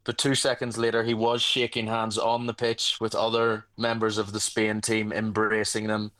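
A young man co-presenting a radio show, measured and careful as he clarifies his points. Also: lowish voice, completely flat delivery, a bit bright.

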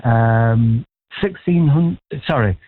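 A man's voice: mid-range voice, takes it slowly, slight background noise. Man, sixty, from Manchester, radio caller, rambling, chatty, tinny phone line. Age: 60s. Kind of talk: casual phone-in chat. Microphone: telephone.

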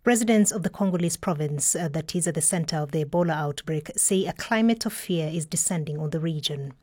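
A woman's voice: low-pitched, fairly quick, a little mellow, dry recording, studio quality. Woman reading radio headlines. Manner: crisp, formal delivery. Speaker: radio news presenter.

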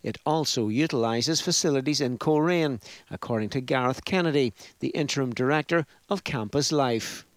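A man's voice: medium pitch, normal speed, hardly any pauses, no echo. A man reading a news report as a scripted voice-over.

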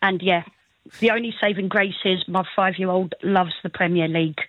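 A woman's voice: on the low side, fairly even intonation, moderate pace, no echo. A woman in her thirties with an English accent, chatting casually as a caller to a phone-in. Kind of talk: casual chat. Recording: dull phone line.

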